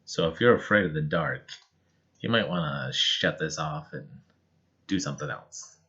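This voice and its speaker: A man reading a children's picture book aloud in an expressive, measured and dramatic storytelling style. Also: a bass voice, highs cut off. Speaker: storybook reader.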